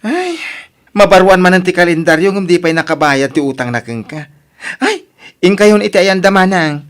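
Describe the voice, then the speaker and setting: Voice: medium pitch, moderate pace, lightly acted. A performer with an Ilocano accent acting a nosy relative in a comedy radio drama. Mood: amazed. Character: nosy relative questioning a visiting debt collector.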